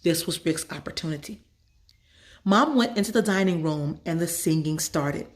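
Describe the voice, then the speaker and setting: A woman's voice: on the low side, animated, moderate pace. A woman storyteller narrating a children's story from a script.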